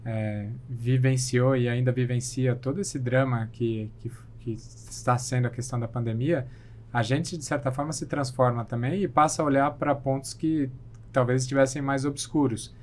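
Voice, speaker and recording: A man's voice: mid-range voice, fairly even intonation, moderate pace, slight background noise. A Brazilian man, a surgeon guest on a podcast, answering thoughtfully with frequent restarts. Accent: Brazilian Portuguese. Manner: thoughtful, hesitant, many restarts and fillers. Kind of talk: interview answers.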